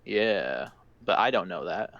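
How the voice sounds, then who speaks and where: Man chatting with a lively, swinging pitch. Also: measured pace, dull audio. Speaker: tabletop game player. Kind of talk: casual chat.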